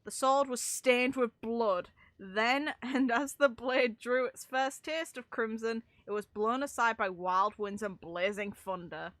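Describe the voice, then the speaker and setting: Bright-voiced woman, wry and casual. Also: fairly high pitch, measured pace, few pauses, dry recording. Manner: wry, sarcastic asides, stumbles while reading. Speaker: streamer reading and reacting to game lore. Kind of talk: casual reading aloud with off-the-cuff commentary.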